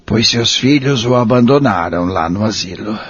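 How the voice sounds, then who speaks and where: A man playing a gentle, repentant old man near the end of his life, speaking earnestly and formally with a Brazilian accent in an audio drama. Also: on the low side, animated, normal speed, crowd noise, natural voice. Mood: solemn. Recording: dull lo-fi audio with music behind.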